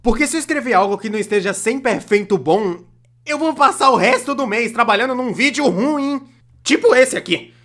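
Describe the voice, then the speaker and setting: A Brazilian performer dubbing a young cartoonist who narrates an animated comedy video in a conversational, self-deprecating, complaining way. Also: mid-range voice, moderate pace, lightly acted. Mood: wry, explanatory. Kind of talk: scripted comedic storytime narration, fan dub.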